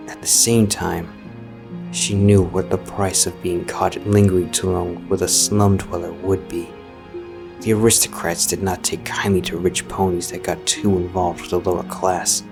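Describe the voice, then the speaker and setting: A man's voice: low-pitched, level tone, moderate pace, vocal fry. A man narrating a scripted story as a storyteller. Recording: music behind the voice.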